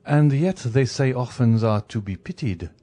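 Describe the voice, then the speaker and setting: A man's voice: mid-range voice, expressive, moderate pace, dark tone, close to an everyday voice. A man voicing a nervous, flustered male banker in an audiobook, hesitant and trying to keep his composure. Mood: forced joviality. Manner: stammering, hesitant. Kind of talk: audiobook character voice.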